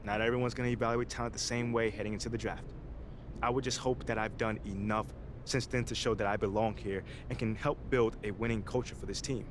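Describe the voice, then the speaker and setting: A man's voice: on the low side, fairly even intonation, fast talker, background noise, close to an everyday voice. A man playing an easygoing, earnest young pro basketball player in a video game, talking in casual, playful slang. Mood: measured, surprised. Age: young adult. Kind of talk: naturalistic video game cutscene acting.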